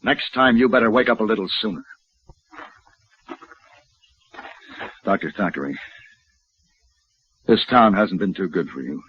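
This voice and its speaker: An actor in a 1950s radio western playing a suave, wry gentleman gunfighter. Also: lowish voice, animated, fairly quick, breathy edge. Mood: firm, courteous. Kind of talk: 1950s radio drama acting. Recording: dull old radio broadcast.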